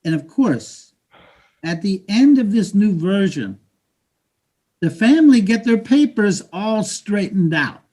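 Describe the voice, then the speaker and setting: Man acting a slow-talking, proud immigrant father. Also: high voice, very animated, mellow, heavily acted. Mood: pleading. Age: middle-aged. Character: proud, scheming immigrant father, eager to act. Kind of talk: staged play reading.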